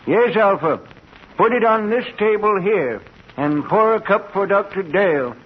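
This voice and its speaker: An actor playing a frail, kindly old inventor professor in a vintage radio drama, with an eager, avuncular manner. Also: male voice, high voice, expressive, a little slow. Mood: proud. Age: older. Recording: muffled, hissy old radio recording.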